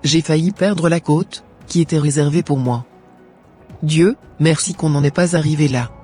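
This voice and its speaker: Guy doing a young churchgoing woman in a cartoon, a bit high and husky, earnest. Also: moderate pace, a little mellow, faint music behind. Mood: grateful. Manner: earnest, plaintive, then grateful and pious.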